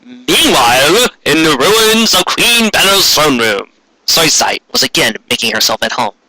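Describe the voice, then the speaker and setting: A man's voice: on the high side, big pitch swings, unhurried, pushed and bright, no room sound, low-quality recording. A man reading fan fiction aloud theatrically, as comic narration with mocking asides. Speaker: fan fiction reader, commentator.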